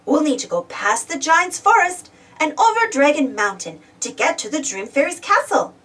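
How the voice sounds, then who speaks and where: A woman reads a storybook aloud for small children in a high voice. Her intonation swings widely, with exclamations and questions aimed at the young listener. She sounds somewhat distant from the microphone. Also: very animated, normal speed, fairly bright tone. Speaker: storybook reader. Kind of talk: animated children's read-aloud narration.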